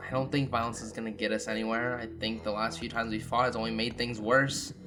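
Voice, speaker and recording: A man's voice: mid-range voice, level tone, quick pace, close to an everyday voice. A man playing a worried, peace-minded character in a tabletop game, earnest and pleading, with music behind.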